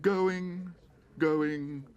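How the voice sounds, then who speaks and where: A man voicing a brisk middle-aged auctioneer calling out bids in a storybook reading. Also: fairly high pitch, fairly even intonation, highs cut off, noisy background, pitched above the performer's own voice.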